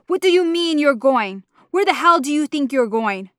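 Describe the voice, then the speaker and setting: A woman voicing a big, domineering mother who yells and curses at her daughter in a storybook reading. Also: fairly high pitch, normal speed, no echo, studio quality, natural voice. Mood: angry, scolding. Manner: yelling, cursing, scornful. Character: harsh foul-mouthed mother, big, furious, domineering.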